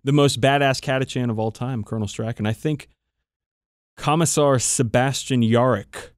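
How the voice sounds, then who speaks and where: Dude cohosting a podcast, medium pitch, swears a lot, irreverent and emphatic, really dry recording. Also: male voice, moderate pace, clear, resonant tone, no echo. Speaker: podcast co-host. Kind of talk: casual banter about tabletop wargaming.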